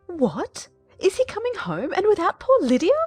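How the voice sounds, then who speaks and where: A woman's voice: very high-pitched, huge pitch swings, normal speed, darkish tone, dry recording, faint music behind, full character voice. An actress playing an overwrought middle-aged mother in a dramatized audiobook, exclaiming in a querulous, fretful manner. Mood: indignant, distraught.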